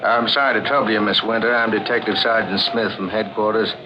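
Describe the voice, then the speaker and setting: A polite, quietly probing detective sergeant, played by a man with a low voice and a hesitant, folksy way of questioning. It is vintage radio drama, dull in sound with faint noise. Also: fairly even intonation, fairly quick, natural voice. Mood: politely probing. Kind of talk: 1940s radio acting. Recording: muffled old radio recording, faint noise.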